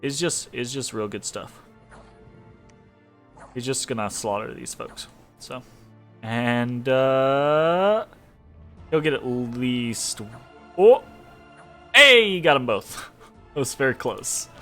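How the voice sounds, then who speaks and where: A man's voice: mid-range voice, expressive, slow pace, pauses often, pushed and bright, faint music behind. A young man with a congested, sniffly voice, giving casual commentary on a strategy game, loose and rambling with many fillers and small laughs. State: congested from allergies.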